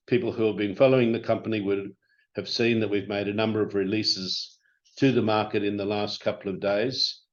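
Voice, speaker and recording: A man presenting company results as an executive on an investor call, speaking in a halting, informal, hesitant way. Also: fairly low pitch. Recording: dull, band-limited conference call audio.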